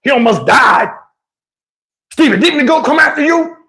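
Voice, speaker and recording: Guy doing a high-pitched blustery dad from a funny story, bossy and insistent, trying to save face. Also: lively intonation, normal speed, sharp tone, heavily acted. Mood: defensive, insistent. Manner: gruff commands, insistent, swearing. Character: blustering, embarrassed dad covering up his fear.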